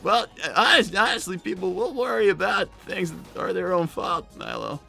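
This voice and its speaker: A man's voice: high voice, normal speed, vocal fry, pushed and bright, faint music behind, exaggerated voice. A man voicing a flustered, defensive young cartoon fox boy with a cracking voice and a stammering, exasperated manner, in an improvised comedy livestream. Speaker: livestream co-host in character.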